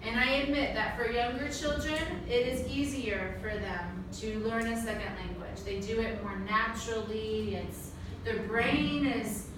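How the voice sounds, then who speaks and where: A woman's voice: a little high, unhurried, hardly any pauses. A woman teaching a class, asking her listeners questions and checking with them often. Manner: asks the audience questions and checks with "right?". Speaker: teacher giving a talk to language students. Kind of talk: interactive classroom talk. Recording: echoey room, distant mic, noise.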